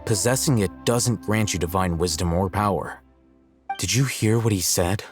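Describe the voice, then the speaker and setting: A man playing an aloof, analytical young scholar in a video game, speaking in a measured, detached, formal way. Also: sits low, over music, lightly acted. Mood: detached, pondering. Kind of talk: video game character acting.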